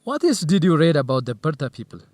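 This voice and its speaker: A man's voice: on the high side, sing-song, fast, darkish tone, no echo. An actor playing an earnest, grateful young Ethiopian male research assistant in an educational radio drama. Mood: helpful, calm.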